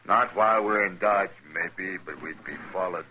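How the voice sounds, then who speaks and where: A man's voice: low-pitched, normal speed, pushed and bright, highs cut off, slight background noise. An actor playing a cold-blooded, taunting bank robber in a 1950s western radio drama, drawling his threats. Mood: cold menace.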